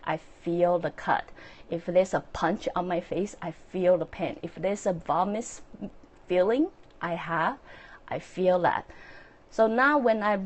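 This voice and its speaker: A woman with a Chinese accent, speaking English as a second language, hosting a casual, earnest solo talk with many fillers and soft laughs. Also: lowish voice, lo-fi sound. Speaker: podcast host and author.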